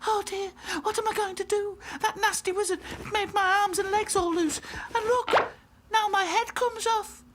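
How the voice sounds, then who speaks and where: A man's voice: extremely high pitch, fairly even intonation, moderate pace, background noise, voice pushed up. A man voicing a melodramatic, wailing female rag doll in a children's storybook. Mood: sobbing, distressed. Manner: wailing, pleading.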